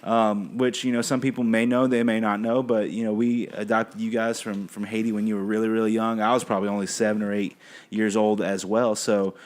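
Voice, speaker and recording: A man's voice: lowish voice, level tone, fairly quick. A young man speaking in a friendly, informal, encouraging way as a pastor hosting a family chat. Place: family kitchen.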